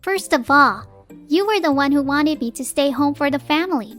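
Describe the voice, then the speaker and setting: A high, youthful wife's voice acted by a woman tells her story in a manga dub with faint music behind it. She is composed but exasperated, blunt and determined to stand on her own. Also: animated, moderate pace, fairly bright tone. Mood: indignant, firm. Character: fed-up young working wife and mother, determined, blunt. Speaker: narrator and lead character. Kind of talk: manga dub, narration and dialogue.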